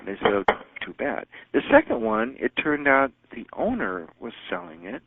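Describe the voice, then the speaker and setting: A man's voice: medium pitch, wildly swooping pitch, moderate pace, creaky, dry recording. A man hosting a teleconference, chatting casually and rambling with many fillers and restarts. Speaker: teleconference host answering callers. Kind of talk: casual phone chat. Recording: phone-line audio.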